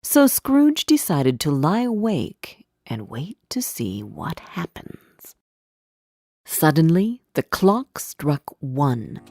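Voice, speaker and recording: Female narrator for learners' fairy tales: lowish pitch, unhurried deliberate delivery, scripted. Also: big pitch swings, mellow, dry recording, studio quality.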